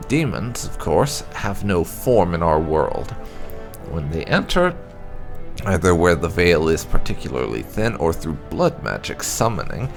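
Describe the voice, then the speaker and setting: A man's voice: low voice, gravelly. A man hosting a gaming channel, reading lore aloud as narration.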